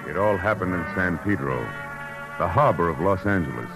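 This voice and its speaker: A very low-pitched, hard-boiled American private eye, speaks with wry, clipped toughness. His delivery rises and falls dramatically in classic 1940s radio noir fashion, on a vintage recording with music behind. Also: lively intonation, normal speed. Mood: brooding, tense. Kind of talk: 1940s radio noir acting and first-person narration.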